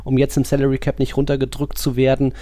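A man's voice: mid-range voice, monotone, fast, dark tone, no echo. A man co-hosting a football podcast, talking in a colloquial, wry, rambling way. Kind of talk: casual podcast discussion.

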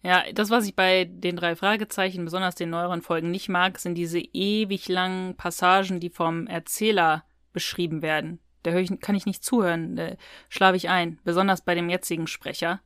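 A woman's voice: fairly low pitch, fairly even intonation, normal speed, a bit bright, smooth, no room sound, clean studio sound. A woman co-hosting a podcast, giving frank opinions in casual, colloquial chat about audio dramas. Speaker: podcast co-host.

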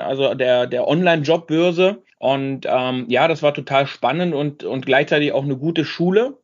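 A man in his thirties explaining his work as a sales trainer in an earnest, conversational interview style. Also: a little high. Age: 30s. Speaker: sales trainer, company founder. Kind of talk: conversational interview talk about own work. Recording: dull lo-fi sound.

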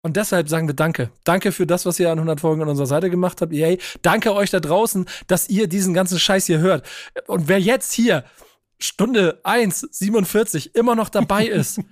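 A young man hosting a football podcast, energetic and joking, with casual swearing. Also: high-pitched, a little fast, no room sound, clean studio sound. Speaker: podcast host. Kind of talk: casual chat.

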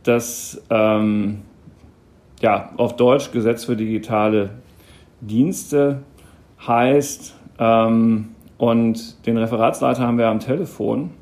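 Gravelly-voiced man hosting a podcast. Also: mid-range voice, takes it slowly. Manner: relaxed, frequent hesitations. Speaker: podcast host. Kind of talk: podcast interview.

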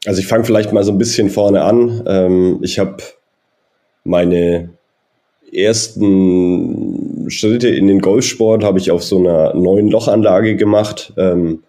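A man's voice: low-pitched, steady tone, slow, mellow. A man in his thirties, a golf coach, answering interview questions in a friendly, rambling way with many fillers. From Germany (Nuremberg). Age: 30s. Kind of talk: interview answers, personal background.

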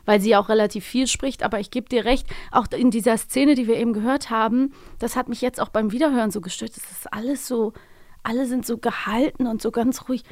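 Young woman, casual podcast host, fairly high voice, dry recording, conversational. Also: steady tone, brisk, darkish tone, no echo. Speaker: host of an audio drama review podcast.

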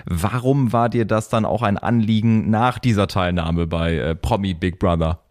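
A man's voice: on the low side, normal speed, no echo, studio quality. A man hosting a podcast, interviewing in an earnest, supportive and conversational way. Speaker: podcast host.

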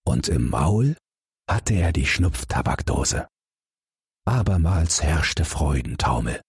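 Male audiobook narrator for bedtime fairy tales: deep, very husky voice, dry close sound. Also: slow pace, dry recording. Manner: calm, expressive storytelling. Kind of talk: bedtime fairy tale reading.

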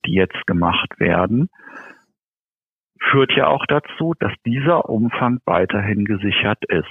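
A man with a low, creaky voice answers interview questions in an earnest, measured way. He explains things carefully. The sound is narrow, like a telephone call. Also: no room sound. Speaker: interviewed welfare association spokesman. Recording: thin band-limited phone line.

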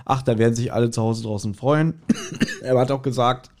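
A man with a mid-pitched voice co-hosts a podcast. He tells anecdotes in loose, colloquial speech. His manner is jokey and self-deprecating, like easy chat with a friend. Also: fairly even intonation, moderate pace, darkish tone. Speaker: podcast co-host.

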